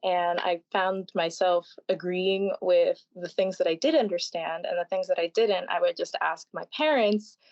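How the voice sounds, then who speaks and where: A young woman in her twenties answers a podcast question in a casual, thoughtful way, with fillers and soft laughs. Her voice is somewhat low. The recording is dry and dull, with a lo-fi sound. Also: moderate pace, hardly any pauses, no room sound. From Florida, United States. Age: 20s. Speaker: guest.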